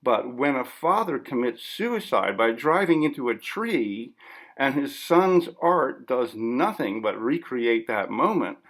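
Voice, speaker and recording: A man's voice: mid-range voice, sing-song, unhurried, a bit bright, exaggerated voice. A man voicing a formal, persistent interviewer in an audiobook story, politely but firmly pressing an artist. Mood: insistent.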